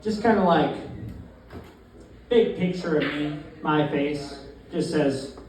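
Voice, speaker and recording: A young man from Newfoundland doing a first stand-up set in a deadpan, halting way, with many fillers. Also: fairly high pitch, slight background noise. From Newfoundland, Canada. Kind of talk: open-mic stand-up comedy. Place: small comedy club, in front of a live crowd. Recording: very echoey club, distant mic, crowd. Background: audience laughter.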